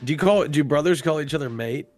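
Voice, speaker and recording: A man's voice: a little high, fast, darkish tone, faint music behind. An American man chatting as a guest on a livestream, teasing and joking and repeating words for fun. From United States. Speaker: guest streamer. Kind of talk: casual chat on a livestream.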